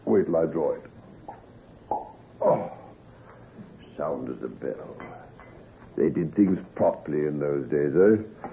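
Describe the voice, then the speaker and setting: A man playing a genial, hearty old gentleman in an old-time radio drama, with old-fashioned turns of phrase. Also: a bass voice, animated, slow, gravelly, pauses often, full character voice. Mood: jovial. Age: older. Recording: tinny, hissy old-time radio recording.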